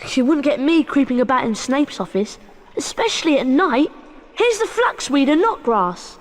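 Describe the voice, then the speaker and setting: High-voiced wary schoolboy. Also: female voice, sing-song, normal speed, in a large hall. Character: young schoolboy wizard, plucky and wary. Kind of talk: video game voice acting.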